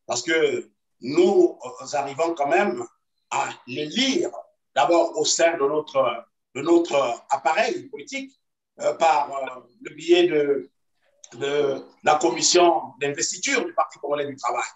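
A man's voice: fairly high pitch, animated. Man on a distant echoey video call. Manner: measured, hesitant with many fillers, insistent. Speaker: party activist guest. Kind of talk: political debate on video call. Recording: roomy echo, distant from mic.

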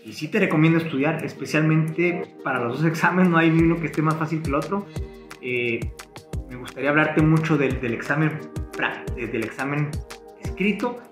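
A man's voice: a little high, moderate pace, roomy sound, over music. Earnest man. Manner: earnest, hesitant with many fillers and restarts. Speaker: barber instructor. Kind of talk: casual explanatory talk to camera. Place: living room at home, indoors.

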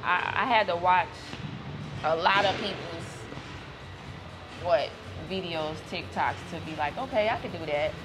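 A woman's voice: on the low side, a little slow, long pauses, music in the background, low-quality recording. A woman guest chatting casually, giggly and easygoing, with loose slang. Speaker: guest, gym partner. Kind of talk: casual chat.

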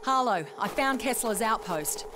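A woman's voice: on the high side, expressive, unhurried, heavy background noise. A woman playing a determined, polite survivor in a video game. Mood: purposeful.

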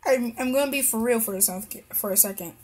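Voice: mid-range voice, quick pace, husky. A young adult giving casual reaction commentary as the host of a video.

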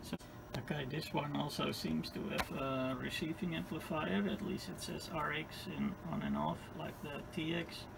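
A man's voice: fairly high pitch, steady tone, normal speed, creaky. A man giving an informal, hesitant, unscripted hands-on demonstration at an indoor workbench. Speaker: host demonstrating radio equipment. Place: indoor electronics lab bench. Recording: noticeable background noise in workshop.

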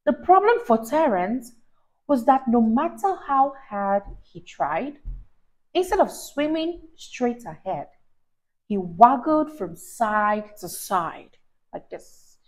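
A young woman hosts a children's story time in a high voice that rises and falls freely. She reads slowly with deliberate, teacher-like emphasis and puts questions to the young listener. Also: sing-song, a little mellow, low-quality recording. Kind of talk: animated read-aloud to a child. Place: small indoor room, on a sofa. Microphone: clip-on lapel mic.

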